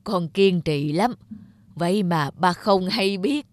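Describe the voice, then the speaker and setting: An actor playing an earnest, remorseful older father who speaks in a slow, measured, solemn way in an animated drama. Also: sits high, no echo. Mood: surprised, regretful. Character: grey-bearded old father, veteran animator, earnest and remorseful. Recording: background music under the voice.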